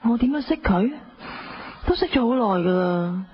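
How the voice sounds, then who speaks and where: A spoiled young wife in her twenties with a Hong Kong accent, played by a woman, frank and self-centred in a radio drama. Her medium-pitched voice sits in a dull recording with faint noise. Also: expressive, moderate pace, lightly acted. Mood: breezy, candid. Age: young adult. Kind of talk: radio drama acting, first-person narration.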